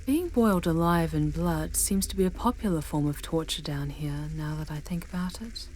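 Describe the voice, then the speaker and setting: A woman's voice: deep voice, normal speed, a little mellow, a little hiss or hum, close to an everyday voice. A woman voicing a proud, sardonic, protective female demon in an ASMR audio drama, speaking with haughty command and dropping into whispers. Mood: wry, amused.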